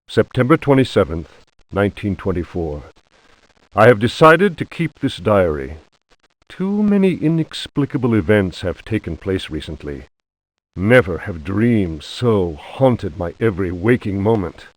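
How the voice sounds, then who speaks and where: A low, slow-spoken man haunted and close to madness. He narrates his diary with brooding, theatrical dread, like a troubled artist in a horror game. The sound is dry and intimate. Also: sing-song, no echo, rough recording. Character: haunted, anxious male painter sliding into madness.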